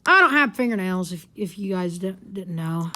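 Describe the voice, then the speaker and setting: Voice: mid-range voice, lively intonation, moderate pace, pushed and bright. A person giving a casual, rambling hands-on gadget review in an informal way. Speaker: product reviewer. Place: carpeted home living room.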